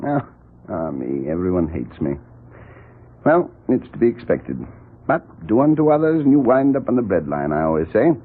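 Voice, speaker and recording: Oily radio-drama blackmailer, swooping, husky. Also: male voice, on the low side, very animated, normal speed. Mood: smug, wheedling. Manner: unctuous, theatrical, falsely polite. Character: oily, cowardly, greedy male blackmailer with mock-polite manner. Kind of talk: 1940s radio mystery acting. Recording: muffled, tinny 1940s radio recording.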